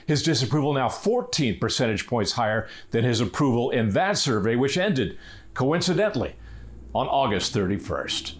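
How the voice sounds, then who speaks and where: An American male reporter narrates a news story from a script. His voice sits at a medium pitch, and he delivers each line as formal broadcast narration. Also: animated, normal speed, husky.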